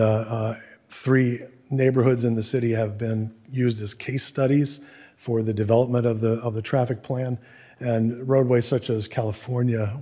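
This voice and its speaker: A man presenting at a public meeting as city staff, halting in delivery, his voice hoarse and giving out. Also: mid-range voice, warm tone.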